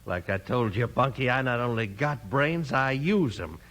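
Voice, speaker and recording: An actor playing a slick, bullying cowboy outlaw leader in 1950s radio western style, full of folksy slang. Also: male voice, mid-range voice, lively intonation, moderate pace, a bit bright, natural voice. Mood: smug, persuasive. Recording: noisy old 1950s radio recording.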